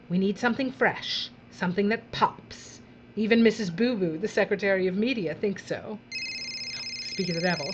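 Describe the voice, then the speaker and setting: A woman's voice: mid-range voice, lo-fi sound, a little hiss or hum, natural voice. A woman playing a breezy, callous female television boss in an audio drama, theatrical and drawling, with condescending endearments.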